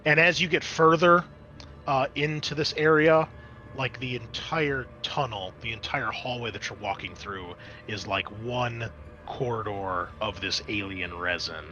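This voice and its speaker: Bright-voiced man running a tabletop game. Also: mid-range voice, measured pace, lo-fi sound, a little hiss or hum. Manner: descriptive, conversational scene-setting. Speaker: game master.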